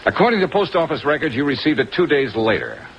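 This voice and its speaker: An American actor playing a cynical, hard-boiled insurance investigator in a 1950s radio drama, with a wry, insinuating manner. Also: male voice, on the high side, sing-song, fast talker. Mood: cool, pressing. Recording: muffled old radio recording under rain noise. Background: rain.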